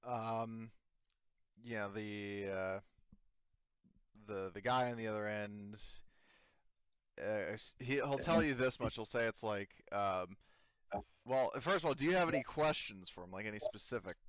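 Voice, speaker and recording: A man acting as game master of a tabletop roleplaying game, improvising his narration haltingly as he searches for words. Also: fairly low pitch, fairly bright tone, clean tone, no echo.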